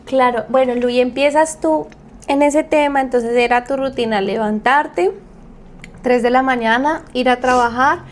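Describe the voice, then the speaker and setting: A woman hosting a podcast, asking quick follow-up questions in a casual chat. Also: high voice. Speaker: podcast host.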